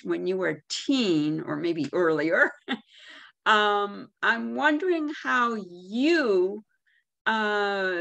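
A woman's voice: medium pitch, creaky, a bit bright. An older woman from Chicago asking a friendly question on a video call, with light laughs. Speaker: audience member asking a question. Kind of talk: casual discussion.

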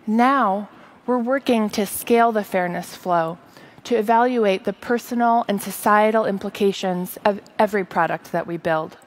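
A woman in her thirties presents on a big conference stage. Her voice sits at a medium pitch, and she delivers rehearsed lines earnestly, with careful articulation. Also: normal speed. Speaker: tech company presenter. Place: large auditorium stage.

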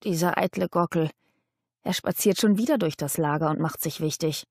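A woman voicing a feisty, sharp-tongued young woman with a blunt, scornful manner in an audiobook. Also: sits low, moderate pace, dry recording, studio quality. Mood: grumbling scorn.

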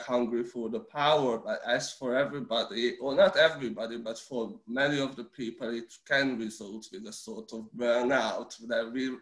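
A man's voice: mid-range voice, fairly bright tone. Hungarian-accented man on an echoey video call. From Romania. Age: 40s. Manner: halting, many restarts and repeated words. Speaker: interview guest. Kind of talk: casual video-call interview.

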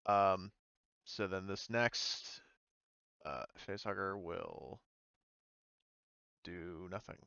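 A man's voice: on the low side, creaky, fairly bright tone, smooth, no echo, dull audio. A man running an online tabletop game as game master, explaining casually with hesitant, trailing asides. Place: indoors on an online voice call.

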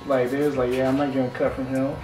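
A man's voice: mid-range voice, little pitch movement, quick pace, a little mellow. A young man as the guest of a comedy barbershop show, laid-back and wry while getting a haircut. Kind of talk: casual comedy banter. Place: small barbershop, indoors. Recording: echoey room from far off with music.

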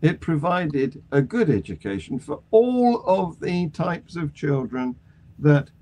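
An older British man leads a news discussion on a video call. His voice sits a little high for a man and has a soft, mellow tone. He phrases things deliberately and conversationally. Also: sing-song. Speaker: panel discussion host. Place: small attic room at home.

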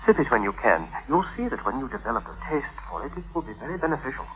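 A scheming young tutor in a vintage radio drama. His delivery is fawning and deliberate, swinging widely in pitch with insinuating politeness. The old recording is slightly muffled. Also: sing-song, fairly bright tone. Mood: falsely solicitous. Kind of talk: 1950s radio acting.

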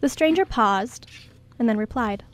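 A woman's voice: on the high side, animated, measured pace. A woman reading scripted story narration as the narrator of a radio play.

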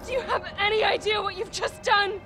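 An actress playing a tough, blunt action heroine in a video game, urgent and defiant. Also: female voice, extremely high pitch, steady tone, brisk. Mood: angry, desperate. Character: tough, defiant, foul-mouthed young female action heroine. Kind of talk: video game cutscene acting. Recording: very loud music behind the voice.

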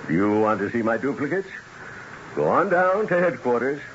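An actor playing a tough, indignant beat cop in a 1970s radio drama, clipped and streetwise. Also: male voice, medium pitch, very animated, normal speed, natural voice. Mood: indignant, defensive. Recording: dull vintage radio with faint noise.

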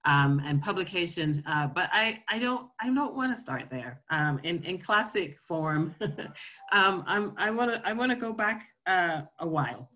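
A woman's voice: fairly low pitch, lively intonation, measured pace, sharp tone. An American woman giving an informal autobiographical talk, reflective and feeling her way through short, repeated phrases. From Florida, southern United States. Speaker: scientist and research leader giving a talk.

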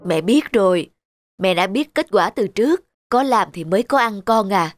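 A woman voicing a patient, firm mother rabbit in a children's cartoon, speaking in a measured, instructive way. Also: medium pitch, animated, natural voice. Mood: firm, forgiving. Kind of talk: animated children's cartoon voice acting. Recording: music behind the voice.

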